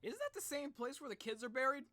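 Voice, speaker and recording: A young man giving casual, excitable live reaction commentary, exclaiming and thinking aloud. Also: very high voice, quick pace, no room sound. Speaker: reaction video host.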